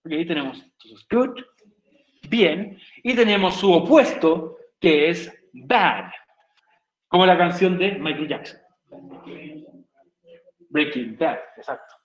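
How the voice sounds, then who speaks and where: A Chilean man teaches an online English class, chatty and joking, often asking his students if they follow. His voice sits high. It rings a little in a roomy space, picked up by a laptop mic. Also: expressive, slow, long pauses. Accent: Chilean Spanish. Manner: chatty, jokey, asks "¿cierto?" often. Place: home room on a video call.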